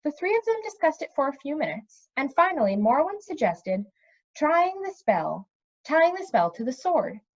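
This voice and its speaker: A woman reading a fantasy novel aloud as narrator, in an expressive storybook style. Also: sits high, moderate pace, lo-fi sound.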